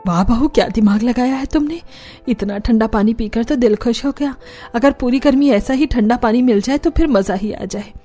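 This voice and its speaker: A woman's voice: fairly high pitch, moderate pace, warm tone, faint music behind, close to an everyday voice. A woman voicing an older Indian mother-in-law, tired but easily delighted, in a Hindi cartoon story.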